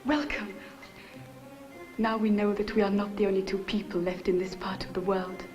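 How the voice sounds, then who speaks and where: Gracious wife, played by an actress in old film. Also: female voice, medium pitch, level tone, moderate pace, a little mellow, highs cut off, lightly acted. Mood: welcoming. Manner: courteous, hospitable. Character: blind Spanish wife, gracious, gentle expectant mother. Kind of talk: 1960s film acting. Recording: 1960s film soundtrack, faint music.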